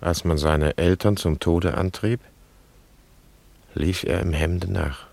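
Very deep male voice, gravelly, solemn haunted wanderer, halting, poetic radio drama. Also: fairly even intonation, darkish tone, dry recording, heavily acted. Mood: mournful.

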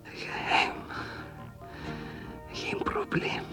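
An actress playing a troubled woman drinker in a radio drama, thinking aloud in halting, intense, breathy whispers. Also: over music. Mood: bitter, distressed. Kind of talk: radio drama interior monologue.

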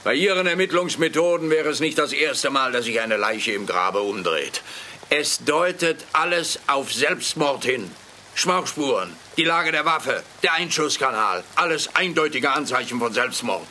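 Sharp, forceful police investigator. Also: male voice, mid-range voice, lively intonation, a little slow, a little hiss or hum. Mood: sarcastic, insistent. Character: brusque male police investigator, dismissive and sarcastic. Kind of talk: radio play dialogue.

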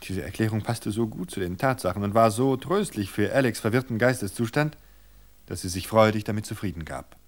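A man narrating a novel in a measured storytelling manner, slowing for suspense. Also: fairly low pitch, a little hiss or hum.

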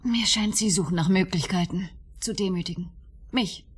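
A woman's voice: mid-range voice, normal speed, breathy edge. An actress playing a guarded, indignant woman in a German radio drama, cool, cutting and defensive.

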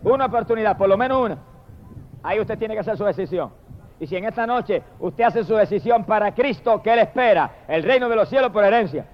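A man with a high voice preaches a fervent sermon, calling out praise to God again and again between long, insistent appeals. The recording is dull and lo-fi, with the top end muffled. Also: expressive, a little fast. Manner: impassioned, repetitive exclamations of praise.

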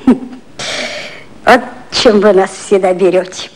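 An actress playing a fiery, sharp-tongued, scolding aide to a prime minister in a 1950s satirical radio play. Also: female voice, mid-range voice, steady tone, extremely slow. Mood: grudging, threatening. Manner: sharp-tongued, indignant, theatrical. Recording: noisy old radio play recording.

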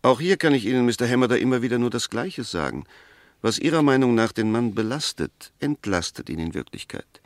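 An actor in a 1960s German radio play as an eloquent, argumentative defence advocate, speaking with precise, emphatic, scornful rhetoric. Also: male voice, medium pitch, lively intonation, a little fast, no echo, clean studio sound, natural voice. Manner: rhetorical, emphatic, pointed questions, precise diction.

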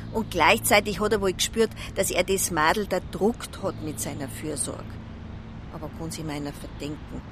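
An actress playing a gossipy, plain-spoken village newsagent in a radio drama, speaking in a folksy Bavarian accent. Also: female voice, lowish voice, fairly bright tone, slight background noise. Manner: chatty, folksy. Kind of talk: naturalistic radio drama dialogue.

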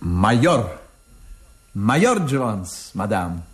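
An actor playing a brash, self-important rogue on the run in a radio drama, jaunty and flattering. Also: male voice, lowish voice, extremely slow, slightly rough, heavily acted. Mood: pompous charm. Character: Major Jones, brash jovial male rogue on the run. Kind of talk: Soviet radio drama acting.

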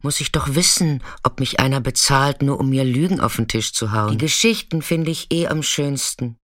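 A woman's voice: rumbling low, moderate pace, no room sound, clean studio sound. An actress playing a chatty, caring, streetwise female sex worker in an intimate, colloquial radio drama monologue. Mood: chatty, familiar.

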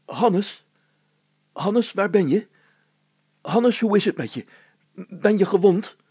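Man doing a high, flustered, squeamish mayor. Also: animated, slow, dark tone, slightly rough, no room sound, heavily acted. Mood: alarmed. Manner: hesitant, exclaiming, repeating himself. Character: fussy, squeamish, excitable town mayor.

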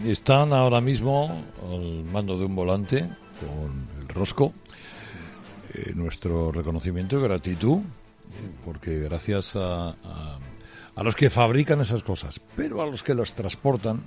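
A man's voice: deep voice, big pitch swings, unhurried, a little mellow, soft background music. A man with an accent from Spain hosting a live radio phone-in, courteous, sometimes searching for his words. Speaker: radio host.

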